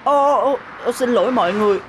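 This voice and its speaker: A woman voicing an excitable, sweet young boy bus in a dubbed children's cartoon, boyish and exclaiming. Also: fairly high pitch, quick pace, noisy background, natural voice. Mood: excited, happy. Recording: loud music behind the voice.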